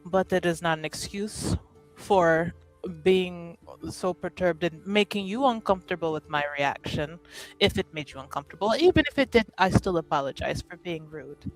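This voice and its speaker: A woman voicing a gentle, earnest female character in a fantasy tabletop game, polite and soft-spoken. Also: lowish voice, animated, moderate pace, faint music behind, pitched below the performer's own voice, close to an everyday voice, rough recording. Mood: contrite.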